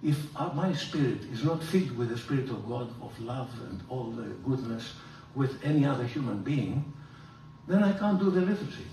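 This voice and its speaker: An older man, a priest, giving a theology lecture in an animated, emphatic way, filmed from across a large room. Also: normal speed, a little hiss or hum. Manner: animated, gesturing, emphatic rises in pitch. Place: large room with hard walls. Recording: roomy hall echo, from far off.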